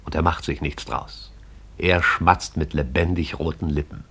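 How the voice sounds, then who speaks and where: Deep, husky radio play narrator. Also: male voice, steady tone, bright, forceful tone. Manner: measured, poetic.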